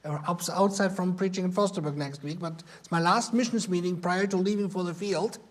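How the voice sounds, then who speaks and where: A man with a German accent and a high-pitched voice, teaching a Sunday school class in a church with quick, self-deprecating humor. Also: fairly even intonation. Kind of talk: informal Bible class talk.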